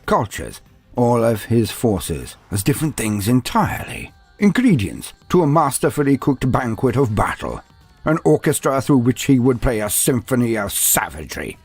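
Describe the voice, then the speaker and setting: Male narrator for dramatic fantasy lore, gravelly and grandiose with wry asides, over music. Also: medium pitch, darkish tone. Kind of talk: dramatic scripted storytelling narration. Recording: music under the voice. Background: background music.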